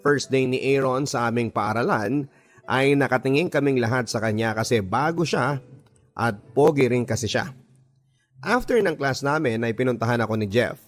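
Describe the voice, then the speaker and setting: A Filipino man tells a scripted love story as a radio drama narrator. His voice sits in a middle register and his delivery is expressive. Music plays behind him throughout. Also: mid-range voice, normal speed. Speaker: radio drama narrator reading a listener's letter. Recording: voice over background music.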